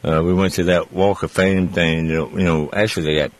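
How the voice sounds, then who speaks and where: A middle-aged man hosts a radio talk show in a deep, low voice. He talks casually, with a folksy and easygoing way about him. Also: fairly even intonation, a little fast. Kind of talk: casual chat.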